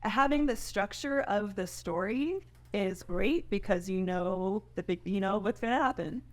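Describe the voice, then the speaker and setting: A woman's voice: medium pitch, measured pace. A woman speaking as a screenwriter in a casual Q&A, chatty and loose in her phrasing, often adding "you know" and "like". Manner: chatty, loosely phrased with frequent 'you know' and 'like'. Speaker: screenwriter answering questions. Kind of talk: casual spoken Q&A.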